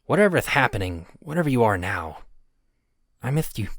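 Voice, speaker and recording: A man's voice: mid-range voice, sing-song, a little fast, noisy background, pitched above the performer's own voice. A man voicing a sarcastic, swearing young male troll in an audio drama. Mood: sorrowful. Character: foul-mouthed, grieving young male troll.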